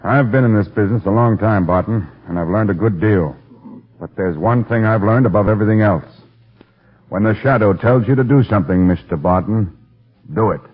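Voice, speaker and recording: A man playing a seasoned, gruff police commissioner, matter-of-fact and authoritative, in 1930s radio drama style. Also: lowish voice, brisk. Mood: knowing, sober. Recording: thin, lo-fi old radio recording.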